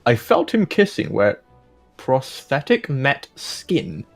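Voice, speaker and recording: A man's voice: mid-range voice, very animated, slow pace, vocal fry, faint music behind. A man doing a jokey read-aloud of fan fiction, dropping in casual asides as he goes. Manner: breaks into laughter and commentary while reading. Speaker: host of a fan fiction reading video.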